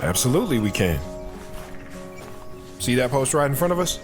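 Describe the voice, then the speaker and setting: A man's voice: medium pitch, big pitch swings, normal speed, natural voice. An actor playing a protective soldier father who talks patiently and earnestly to his daughter in an audio drama. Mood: playful. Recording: music behind the voice.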